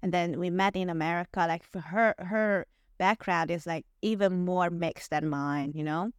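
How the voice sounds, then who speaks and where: A woman's voice: fairly low pitch, normal speed, no echo, studio quality. A woman in her twenties, a podcast host who grew up in Hungary, talking casually with lots of fillers and laughs. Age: 20s. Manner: chatty, laughs often, many fillers. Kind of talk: casual interview chat.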